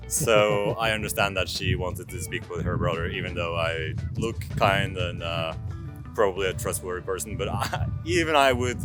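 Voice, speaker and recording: A man in his thirties from France, narrating a travel vlog in a casual, halting way. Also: low voice. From France, moved to Norway at six. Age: 30s. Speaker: vlogger.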